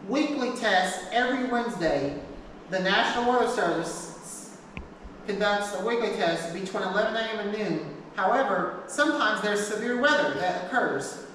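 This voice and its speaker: A woman giving an informal class talk, partly reading from notes, speaking haltingly and repeating her phrases. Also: medium pitch, lively intonation, fairly bright tone. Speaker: presenter teaching a class. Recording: echoey hall, distant mic, noisy background.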